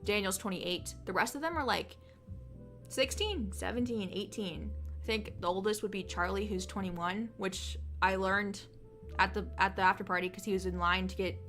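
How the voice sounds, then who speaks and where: A young woman in her twenties hosts a casual livestream and tells stories in a chatty, excitable way. Her voice is bright and crisp, sitting at a middle pitch, with soft music playing behind her. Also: normal speed. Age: 20s.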